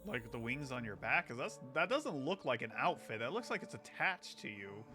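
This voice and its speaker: Woman streamer, very low pitch, casual, chatty game reactions, music behind. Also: sing-song, moderate pace. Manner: informal, chatty interjections.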